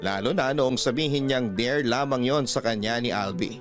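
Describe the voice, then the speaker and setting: A man's voice: mid-range voice, normal speed, music in the background. A man narrating a scripted first-person story as a radio drama host. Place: dry studio with music behind.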